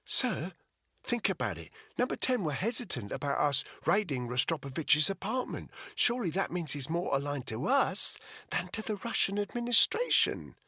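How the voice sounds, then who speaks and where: A man voicing a young female agent who speaks quietly and reasons respectfully with her superior. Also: fairly high pitch, sing-song, normal speed, husky, no echo, clean studio sound, voice pushed up, full character voice. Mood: persuasive. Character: calm young female agent, gently persuasive.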